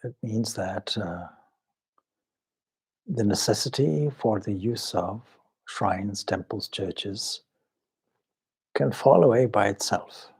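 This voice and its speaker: A man leading a guided meditation as a swami, speaking solemnly and measuredly in short phrases with long pauses. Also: lowish voice, gravelly, a little mellow, slightly rough. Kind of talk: spiritual talk and guided meditation.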